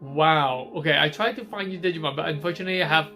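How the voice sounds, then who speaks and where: A man's voice: a little high, fast, bright, forceful tone, faint music behind. Chatty man hosting a live stream. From Hong Kong. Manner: chatty, reads out viewer questions and answers them on the spot. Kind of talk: casual live stream chat answering viewer questions.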